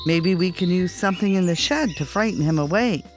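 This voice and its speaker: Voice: medium pitch, a little fast, music in the background, close to an everyday voice. A narrator voicing a worried, earnest little male chipmunk sidekick in a children's storybook, with a plaintive manner.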